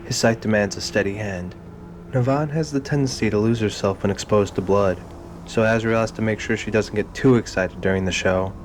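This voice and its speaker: A man's voice: fairly low pitch, fairly quick, slight background noise. A man reading scripted, dramatic narration for an audio drama. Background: music.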